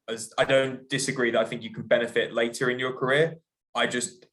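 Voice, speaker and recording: A man's voice: on the low side, poor audio. A young man from the United Kingdom speaking as a panelist, casual and chatty, with many "like"s. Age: young adult.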